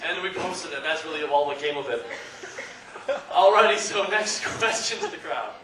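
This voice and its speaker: Animated high-pitched man in an echoey hall. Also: normal speed. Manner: animated, joking, rambling storytelling. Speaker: panelist and abridged-series voice actor. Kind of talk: casual convention panel talk. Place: convention panel room. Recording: echoey hall, distant mic, noisy crowd. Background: audience noise.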